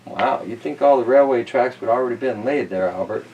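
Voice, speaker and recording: A man's voice: mid-range voice, brisk, muffled recording. A man hosting a casual, chatty read-aloud of picture books, playful and folksy, with rambling asides. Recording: roomy distant mic with slight noise.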